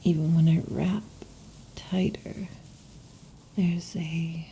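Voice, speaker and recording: A woman voicing a possessive, overheated female lamia in an ASMR roleplay, whispering softly and teasingly into a close mic. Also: sits low, level tone, takes it slowly, gravelly, slight background noise, full character voice, rough recording. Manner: soft intimate whispering, teasing. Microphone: close sensitive mic.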